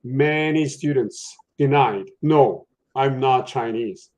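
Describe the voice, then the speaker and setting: A man's voice: mid-range voice, darkish tone, slight background noise. A man on a discussion panel, talking in a mid-range voice. He reasons aloud, stopping and restarting, circling back before landing on his point. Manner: halting, thinking aloud with many fillers. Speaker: panelist, university professor.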